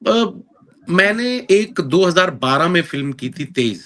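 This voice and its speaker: A man's voice: sits high, lively intonation, takes it slowly, bright, forceful tone. A man in his thirties who is an actor, answering interview questions earnestly with an Indian accent, mixing in English phrases and using many fillers. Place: lives in London.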